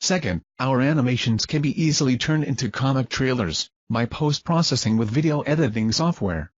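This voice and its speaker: A man with a slightly husky voice delivers formal, scripted research narration. He reads in a measured, expository way at a middling pitch. The recording is dry and a little dull. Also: lively intonation, no room sound. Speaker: research presenter.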